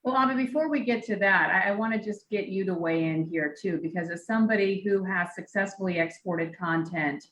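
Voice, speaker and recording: A woman's voice: medium pitch, brisk, hardly any pauses, fairly bright tone, echoey room, slight background noise, low-quality recording. A woman hosting and moderating a panel, giving polite, scripted introductions and questions. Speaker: event host and moderator.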